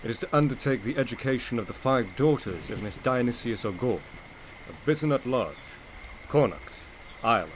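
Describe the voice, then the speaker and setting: An actor playing a brooding, teasing English gentleman in a gothic romance audio drama, speaking in formal, theatrical period phrasing. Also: mid-range voice, animated, normal speed, slight background noise, natural voice, rough recording. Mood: brisk, feigned lightness. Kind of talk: audio drama acting, period literary dialogue.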